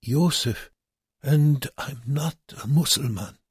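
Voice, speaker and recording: A man voicing a frail, sick and dazed camp prisoner in an audiobook, in a halting mumble. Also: medium pitch, slightly rough, no room sound, clean studio sound, voice pushed up. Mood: weak, protesting. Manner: mumbling, halting, groaning whisper. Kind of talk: audiobook character voice.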